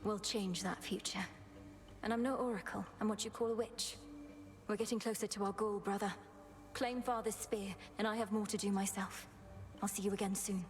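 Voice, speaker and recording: A woman's voice: medium pitch, steady tone, faint music behind, close to an everyday voice. Woman acting a solemn, resolute young witch princess. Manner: formal, measured, archaic phrasing. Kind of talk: video game drama acting.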